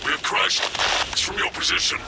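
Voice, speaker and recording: An actor voicing a hardened, zealous space marine in a video game, speaking in terse military reports with a gravelly voice. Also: male voice, a little fast, heavy background noise, lightly acted. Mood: terse, tense. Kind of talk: cinematic video game voice acting.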